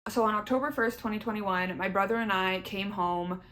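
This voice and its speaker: A woman's voice: medium pitch, fairly quick, fairly bright tone, low-quality recording. Chatty young Canadian woman vlogger. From Southern Ontario, Canada. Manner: chatty, candid, emphatic with repeated words. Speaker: YouTuber. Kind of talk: casual personal update to camera.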